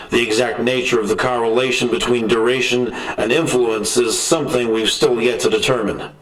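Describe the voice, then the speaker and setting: Give this the sound of an audio drama: a man playing a measured scientist dictating a formal report. He is articulate and deliberate, with a mid-pitched voice. The sound is roomy and a little distant, with music underneath. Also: fairly bright tone, close to an everyday voice. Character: measured male research lead reporting on an ancient derelict ship. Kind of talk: dramatic scripted report narration.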